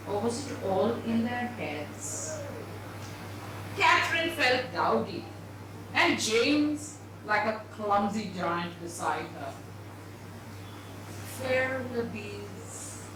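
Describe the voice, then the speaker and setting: Female story reader, slow and measured, recorded from a distance with clear background noise. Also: mid-range voice. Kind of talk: dramatised literary reading, narration. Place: live reading at an indoor event venue. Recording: very echoey hall, distant mic, noisy.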